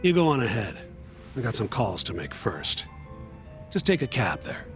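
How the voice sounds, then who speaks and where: A man acting as a brisk, pragmatic, unsentimental defense lawyer in a video game, speaking bluntly and colloquially. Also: fairly low pitch, wildly swooping pitch, faint music behind. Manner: blunt, colloquial, matter-of-fact. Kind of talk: English game dub dialogue.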